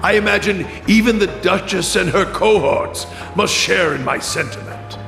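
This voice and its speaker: A man playing a commanding, calculating chancellor in a video game cutscene, speaking with formal, measured courtesy. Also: high-pitched, expressive, creaky. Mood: imperious. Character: commanding, calculating imperial chancellor addressing rivals. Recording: echoey hall reverb, music behind. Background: music.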